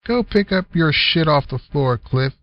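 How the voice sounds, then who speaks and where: A man voicing a grumpy, balding older uncle who snaps and teases in a gruff manner, in a storybook reading. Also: a little high, lively intonation, measured pace, no echo, highs cut off. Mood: gruff, scolding.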